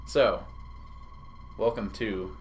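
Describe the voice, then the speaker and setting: A young man with a fairly low voice runs the interview, firing off questions and quick joking asides. Faint background noise hums under the stream. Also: fairly even intonation. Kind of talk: casual livestream Q&A.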